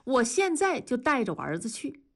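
Casting: sharp-tongued jealous wife for audiobook acted by a female narrator, scornful, goading, high and highly animated. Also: a little fast, breathy edge. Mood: cold scorn. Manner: scolding, interrogating, goading. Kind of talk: audiobook character dialogue.

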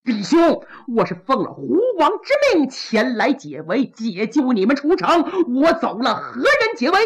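A woman voicing an exhausted, hot-tempered young male general who shouts commands, in traditional storytelling. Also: sing-song, normal speed, slightly rough, lo-fi sound, full character voice. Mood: pleading. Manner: shouting commands with rising pitch.

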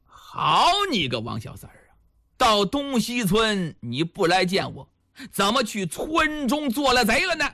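A man's voice: on the high side, big pitch swings, measured pace, fairly bright tone, voice pushed down, exaggerated voice. A man voicing a bold, crafty village headman with a commanding, theatrical manner in a storytelling performance. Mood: feigned fury. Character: Chao Gai, bold crafty village headman, feigning an angry uncle.

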